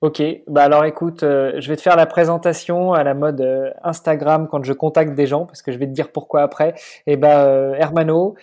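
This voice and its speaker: A man's voice: fairly high pitch, level tone, a little mellow. Hesitant man in his forties. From France. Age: 40s. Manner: measured, many hesitations. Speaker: podcast guest. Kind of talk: casual interview chat.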